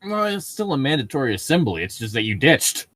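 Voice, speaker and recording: A man casually reading aloud and bantering with friends, exasperated, sardonic and quick to swear. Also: medium pitch, big pitch swings, fairly bright tone. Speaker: podcast co-host reading aloud. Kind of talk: casual group fan fiction read-aloud with banter.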